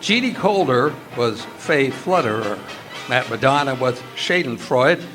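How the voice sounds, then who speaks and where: A man's voice: mid-range voice, animated, a little slow, fairly bright tone. A man announcing in a scripted, old-time radio style. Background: music.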